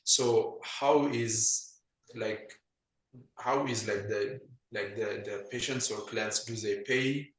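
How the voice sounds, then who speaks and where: A man from Ethiopia asks questions on a video call in a halting way, filling gaps with "like", and his mic sounds faint and muffled. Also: fairly low pitch, steady tone, reverberant, a little hiss or hum. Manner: halting, heavy use of "like" fillers. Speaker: webinar participant asking questions.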